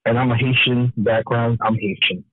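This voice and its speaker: A man in his twenties calling in to a live show, speaking hesitantly with a halting start. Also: medium pitch, fairly even intonation, breathy edge, no echo. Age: 20s. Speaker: caller. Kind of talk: call-in question. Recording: tinny phone line, dry.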